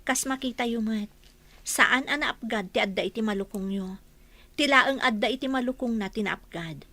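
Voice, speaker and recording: A woman's voice: on the high side, normal speed, sharp tone, dry recording. An actress playing a dutiful but firm young married woman in a radio drama, pointed and insistent as she justifies herself. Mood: insistent, aggrieved. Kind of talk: Ilocano radio drama acting.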